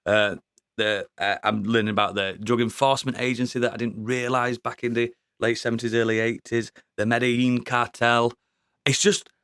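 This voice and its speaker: A man co-hosting a podcast, chatting casually about a TV show, sweary and excitable, laughing often. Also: medium pitch, no echo. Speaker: podcast co-host. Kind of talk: casual review chat.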